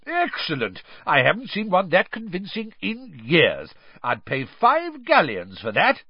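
A boisterous, middle-aged wizard official from a storybook, acted out by a man. He booms along eagerly with a carefree, boyish cheer. The pitch leaps about over a slightly rough, husky grain, recorded dry. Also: very animated, normal speed, no room sound, lo-fi sound, exaggerated voice. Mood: exuberant. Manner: booming, eager, cheerfully careless.

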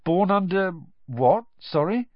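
A man's voice: sits high, animated, slow pace, no echo, pitched above the performer's own voice. An earnest, plain-spoken teenage boy, as a male reader voices him, sounding puzzled and straightforward. His voice moves up and down quite a bit, in a dry, slightly dull studio sound.

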